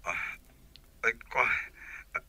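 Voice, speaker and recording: A man's voice: drawn-out words, bright, forceful tone, dry recording, full character voice. An actor playing an unfaithful married man pleading with his lover in an Ilocano radio drama.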